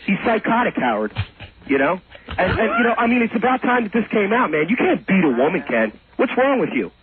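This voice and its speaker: A man's voice: high voice, sing-song, brisk, slight background noise. A man phoning in to a radio show, arguing heatedly in an insistent, repetitive, accusatory way. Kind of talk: heated unscripted phone-in argument. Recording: tinny narrow phone line on radio.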